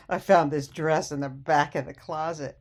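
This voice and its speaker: An older woman playing a sweet but stubborn elderly mother in a radio play, gently chiding and coy. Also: deep voice, exaggerated voice.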